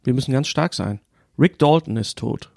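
A man's voice: medium pitch, moderate pace, creaky, a little mellow, no echo. Man bantering on a podcast, dry close sound. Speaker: podcast host. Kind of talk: casual banter and film chat.

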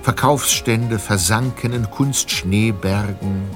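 A man's voice: lowish voice, slow, over music. A man narrating a scripted audio drama as storyteller.

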